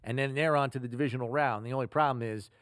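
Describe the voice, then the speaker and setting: A man's voice: medium pitch, steady tone, rapid-fire, fairly bright tone, no room sound. Man hosting a football podcast, close dry sound. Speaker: sports podcast host. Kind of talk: football analysis talk.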